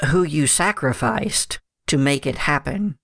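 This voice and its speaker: A man voicing a calm male captor who questions a prisoner, pausing and hesitating with fillers. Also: on the high side, vocal fry, no room sound, studio quality, exaggerated voice. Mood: coolly insistent.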